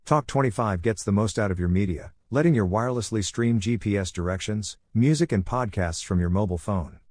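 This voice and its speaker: A man's voice: fairly low pitch, brisk, darkish tone, no echo, studio quality. A man reading a scripted product review as a narrator.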